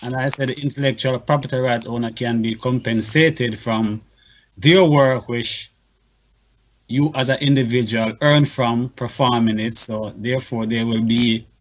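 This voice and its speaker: A man's voice: medium pitch, low-quality recording. A man on a talk show panel explaining things earnestly in fairly formal wording, often adding "you know".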